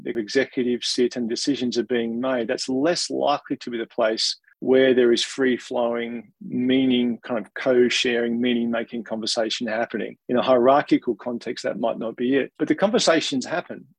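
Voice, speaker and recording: An Australian man, a senior leader in an organisation, giving thoughtful, measured answers in an interview, with small restarts. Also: mid-range voice, fairly even intonation, breathy edge, dry recording, low-quality recording.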